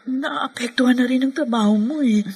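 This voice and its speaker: A woman's voice: high-pitched, moderate pace, darkish tone, breathy edge. A Filipino woman playing a weary, devoted young mother and wife in an emotional, pleading radio drama style. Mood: worried, resolute. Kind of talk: Tagalog radio drama acting.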